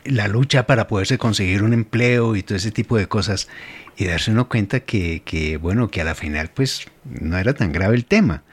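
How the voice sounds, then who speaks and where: Low-voiced middle-aged radio host. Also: male voice, breathy edge. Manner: reflective, halting with frequent fillers. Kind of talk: radio interview.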